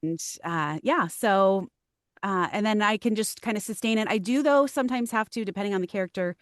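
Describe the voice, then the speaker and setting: A woman voiceover actress explains her work in a relaxed podcast chat. She pauses to think with frequent ums. Her voice is middle in pitch, and the recording is close and dry. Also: dry recording. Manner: thoughtful, many ums, light laughs. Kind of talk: casual interview chat.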